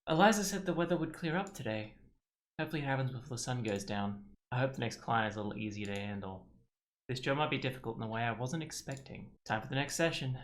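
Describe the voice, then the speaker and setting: A man's voice: medium pitch, expressive, quick pace. A man giving casual let's play commentary, thinking aloud as he goes. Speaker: game streamer.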